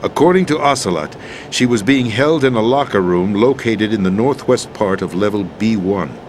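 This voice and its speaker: An actor playing an older male president in a video game cutscene, measured and conspiratorial as he explains, urgent near the end. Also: lowish voice, animated, slight background noise, natural voice. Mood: grave, explaining. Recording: loud game score behind.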